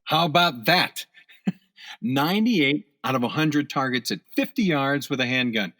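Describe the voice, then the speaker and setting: An actor playing a plain-spoken government agent in a radio play, talking in a blunt, informal way. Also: male voice, medium pitch, sing-song, moderate pace, a bit bright. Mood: elated.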